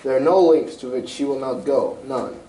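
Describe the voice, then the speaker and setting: An amateur actor, a man, playing an anxious, proud European king in a radio drama style, formal, grave and halting. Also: mid-range voice, warm tone, natural voice. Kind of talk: 1940s-style radio mystery acting. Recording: roomy, distant stage recording with faint noise.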